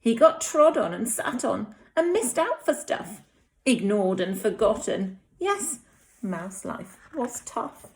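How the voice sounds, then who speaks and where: A woman with an English accent, a teacher speaking and reading to young children in an expressive, dramatic storytelling way. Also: fairly high pitch, slow. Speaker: infant school teacher. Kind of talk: reading a picture book aloud to young children.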